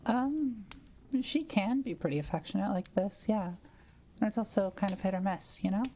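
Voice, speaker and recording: Kind forest witch played by a woman, intimate roleplay; soft mellow tone, hesitant and gentle, faint noise behind the voice. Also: medium pitch, moderate pace, close to an everyday voice. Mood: gently surprised, flustered. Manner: gentle, hesitant, softly teasing. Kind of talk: intimate audio roleplay, speaking to the listener.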